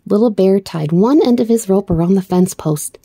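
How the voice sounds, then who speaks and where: A woman storyteller giving an expressive read-aloud narration of a children's book. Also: mid-range voice, moderate pace, husky, no room sound, studio quality.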